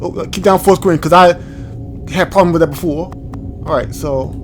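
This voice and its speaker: A man hosting a gaming video, thinking aloud in an informal, halting way as he comments and reads from the screen. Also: high voice, soft background music. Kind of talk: casual let's play commentary, reading on-screen text aloud.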